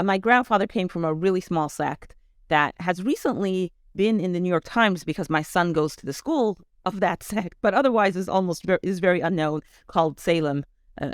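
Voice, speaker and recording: A woman's voice: lowish voice, normal speed, dry recording, studio quality. A middle-aged woman from Canada with a North American accent, giving a conversational personal account as an interview guest. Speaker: interview guest, education advocate.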